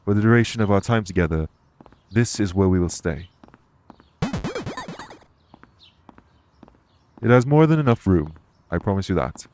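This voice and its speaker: A man playing a courteous former king turned fae official in an audio roleplay, speaking formally and with measured reassurance. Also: sits low, animated, background noise. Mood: welcoming, reassuring. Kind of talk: audio roleplay spoken to the listener.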